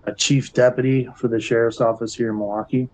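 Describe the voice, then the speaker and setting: A middle-aged man with a Wisconsin accent testifies over a video call in short, formal replies. His voice is a little raspy and stays flat and even, with hardly any rise or fall. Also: medium pitch, a little fast, low-quality recording. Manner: terse, formal answers. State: slightly raspy from talking. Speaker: chief deputy sheriff testifying as witness.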